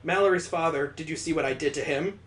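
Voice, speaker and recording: Brief: male reader playing flat, menacing young murderess, roomy echo. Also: sits low, fast talker, natural voice. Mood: cold. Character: cold, murderous young woman, calm and flat.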